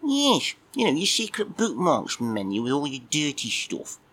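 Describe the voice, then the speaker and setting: A high, cheeky male cat voice, put on by a man that leaps around in pitch and sounds bright and sharp. He rambles on with a smutty, mock-innocent air. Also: huge pitch swings, normal speed, full character voice. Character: cheeky crude dim-witted male cat.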